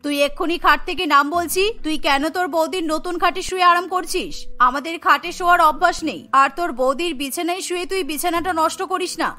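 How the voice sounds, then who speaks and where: A woman voicing an older, gentle but scolding mother-in-law in a cartoon. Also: very high voice, fast talker, few pauses.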